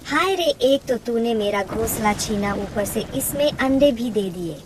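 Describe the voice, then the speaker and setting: A woman voicing a brash, scheming, sharp-tongued female bird in a cartoon story. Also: on the high side, animated, measured pace, background noise. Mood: scolding. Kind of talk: Hindi cartoon story acting.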